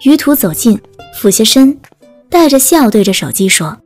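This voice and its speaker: A woman reads an audiobook story in a high-pitched voice. Music plays along with her scripted narration. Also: very animated, normal speed, a little mellow.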